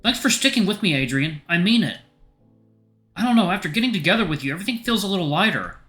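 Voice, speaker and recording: A playful young boyfriend , acted by a man, caring and earnest, pitched up and very lively. The voice is bright, with music behind it in a story-game reading. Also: fast. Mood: earnest, grateful.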